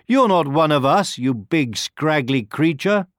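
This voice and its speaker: A man's voice: fairly high pitch, lively intonation, unhurried, no room sound, studio quality, pitched above the performer's own voice. A man voicing a hostile, scornful wild duck in a children's storybook reading. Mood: hostile, demanding. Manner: demanding, scornful. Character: haughty, hostile wild ducks rejecting an outsider.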